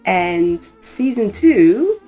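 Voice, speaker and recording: A woman's voice: mid-range voice, big pitch swings, drawn-out words, warm tone, faint music behind, rough recording. A woman, an audio drama producer, giving an informal promotional sign-off.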